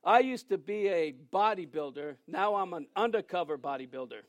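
A man in his sixties preaching a sermon in a joking, conversational way, reading scripture aloud slowly between asides. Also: high voice, lively intonation, no echo. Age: 60s. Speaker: pastor.

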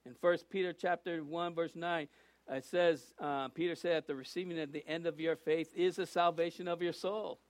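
An older man preaching in a relaxed, rambling way, with asides and little jokes. His voice sits high for a man. The sound is close and dry, with no room echo. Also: normal speed. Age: 60s. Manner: folksy, rambling, with jokes and restarts. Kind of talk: informal sermon.

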